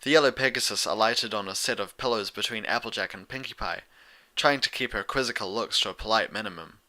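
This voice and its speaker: A man's voice: on the low side, quick pace, bright, forceful tone. A man reading scripted story narration as an audiobook narrator of fan fiction.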